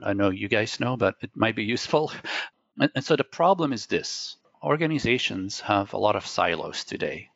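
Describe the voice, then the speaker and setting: A man from Belgium who grew up speaking Dutch walks through his points step by step, tagging them with a questioning "right?". His voice sits low with a gravelly edge. The sound is dry and slightly dull, like a lo-fi call. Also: fairly bright tone, no room sound. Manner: explains step by step, asks rhetorical questions with "right?". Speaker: tech company guest. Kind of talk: conversational explaining.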